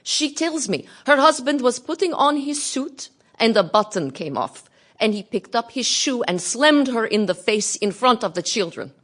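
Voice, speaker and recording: Expressive, earnest Russian woman activist. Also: a little high, moderate pace. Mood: indignant, resolute. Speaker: actress in an audio play. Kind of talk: dramatic monologue, radio theatre.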